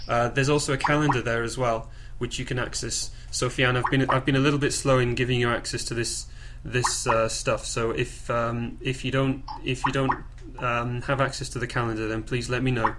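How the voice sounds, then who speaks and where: A man informally presenting to a group on a conference call, haltingly and with repeated words and phrases. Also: mid-range voice, flat delivery, a bit bright. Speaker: project coordinator leading a group call. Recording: clearly noisy conference call recording.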